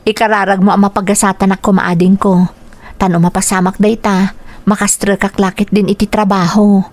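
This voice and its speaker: A woman plays a dutiful eldest daughter in an Ilocano radio serial. Her voice sits at a medium pitch and carries an earnest, sincere tone. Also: level tone, a little fast, darkish tone, dry recording, natural voice. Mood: hopeful, earnest. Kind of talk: radio drama acting.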